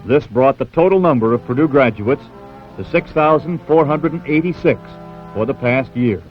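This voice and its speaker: A man's voice: medium pitch, animated, normal speed, highs cut off, soft background music. A man with an American accent reading scripted narration as a newsreel narrator, on a 1970s soundtrack.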